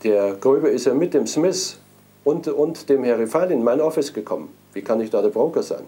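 A man's voice: mid-range voice, lively intonation, normal speed, dark tone. A man being interviewed, hesitant and full of fillers as he recounts events. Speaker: interviewee, prisoner. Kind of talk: recorded interview.

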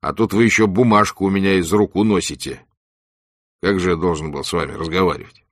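A man voicing a gruff, wry, ailing ex-camp exile who tells things in animated colloquial speech. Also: sits low, fairly quick, no room sound, clean studio sound. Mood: rueful, animated.

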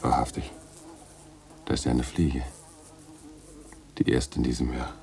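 An actor playing a jovial, teasing literary critic in a German radio play, husky and theatrical, speaking in florid, ironic phrases. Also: male voice, extremely low pitch, completely flat delivery, a little slow, warm tone, heavily acted. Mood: musing, ironic.

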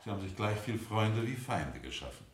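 Measured defence lawyer, echoey courtroom. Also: male voice, fairly low pitch, big pitch swings, a little hiss or hum. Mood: measured, probing. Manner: formal, rhetorical, pointed questioning. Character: male defence lawyer, insistent, measured and probing. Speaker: actor in a radio play. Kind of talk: 1970 German courtroom radio drama acting. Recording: echoey courtroom-like room, 1970 radio production.

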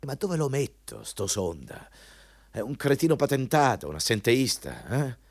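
An actor playing a cynical, vulgar, bullying company executive with a scornful, overbearing manner in a radio drama. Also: male voice, medium pitch, sing-song, moderate pace, vocal fry, dry recording. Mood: exasperated.

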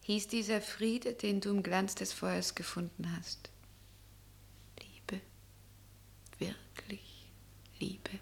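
An actress playing a tender, resigned young woman in love in a radio play, whispering haltingly and breathily. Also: mid-range voice, fairly even intonation, creaky. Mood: tender, fading. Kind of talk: German radio play acting.

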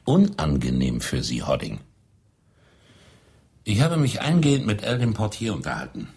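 Gravelly, stern detective. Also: male voice, fairly low pitch, wildly swooping pitch, a little mellow, heavily acted. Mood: stern, accusing. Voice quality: gravelly, husky. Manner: deliberate, cutting. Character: stern, accusing male police detective cornering a suspect. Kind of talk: radio drama acting.

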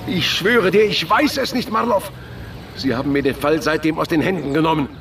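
Higher male voice, cornered police boss, nervous, defensive, radio play, distant from mic, faint street noise. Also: brisk, creaky, fairly bright tone. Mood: frightened, defensive. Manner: defensive, stammering under pressure. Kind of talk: noir radio drama acting.

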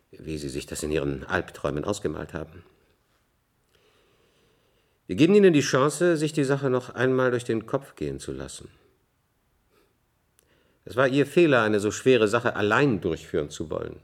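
Actor as a low-voiced, stern male state official. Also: close to an everyday voice. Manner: formal, authoritative, coldly controlled. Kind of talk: German radio play acting.

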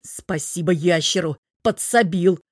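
A woman's voice: on the low side, lively intonation, moderate pace, no echo, studio quality, natural voice. A woman voicing a stubborn, exasperated young man in love, slangy and defiant, in a story reading. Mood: exasperated protest.

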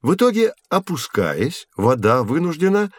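An actor playing a patient professor who explains science to children didactically in an educational audio drama. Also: male voice, on the high side, very animated, normal speed, no echo. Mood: explanatory. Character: patient male professor teaching children with hands-on experiments.

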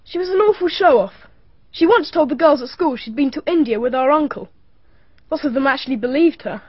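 An actress playing the victim's young sister in a BBC radio drama, speaking bluntly and dismissively. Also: female voice, high-pitched, expressive, brisk, dry recording. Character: young girl, victim's sister, matter-of-fact. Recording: dry, dull archive radio recording.

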